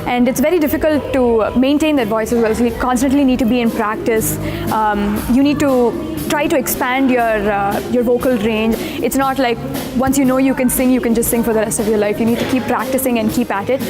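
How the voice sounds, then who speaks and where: A teenage girl with a husky voice, speaking earnestly in a documentary interview about her singing. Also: a little high, fairly even intonation, brisk. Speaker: young singer interviewee. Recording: music bed under the voice.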